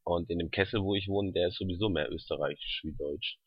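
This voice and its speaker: A man hosts a casual podcast and puts relaxed questions to his guest. His voice sits a little low and sometimes drops into quiet asides. The sound is dry and slightly dull, with a narrowed range. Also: fairly quick, husky, dry recording. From southern Germany near Salzburg. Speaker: podcast host.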